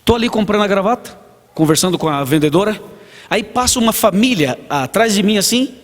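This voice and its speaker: Brazilian pastor preaching in an echoing hall. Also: male voice, high-pitched, animated, fairly quick. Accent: Brazilian Portuguese. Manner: storytelling, acts out dialogue, asks the congregation. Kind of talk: sermon. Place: church hall. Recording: long church reverb.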